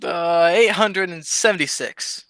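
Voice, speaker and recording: Woman as bright-voiced, cheeky, dim comic sidekick. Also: on the low side, measured pace, no echo. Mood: smart-alecky. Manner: innocent wisecracking punchline delivery. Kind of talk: old-time radio comedy double act.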